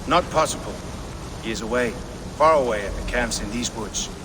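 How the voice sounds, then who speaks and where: An actor playing an eccentric, eager, jittery Norse outcast in a video game, halting and sing-song, repeating himself. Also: male voice, medium pitch, background noise, close to an everyday voice. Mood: conspiratorial. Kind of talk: video game character acting.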